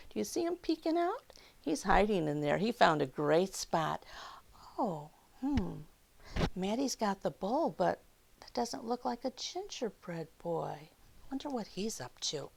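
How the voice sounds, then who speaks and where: A woman reading a picture book aloud to young children, expressive and dramatic, with questions and hushed asides to the listeners. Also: mid-range voice, measured pace, gravelly. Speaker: children's storyteller.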